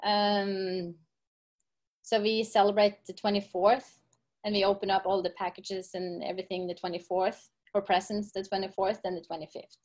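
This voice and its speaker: A middle-aged woman from Norway with a Norwegian accent, a guest in a casual podcast interview, answering in her second language and often pausing with "um" as she looks for words. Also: medium pitch, little pitch movement, a little mellow. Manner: searching for English words with fillers. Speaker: podcast guest, gym owner and coach.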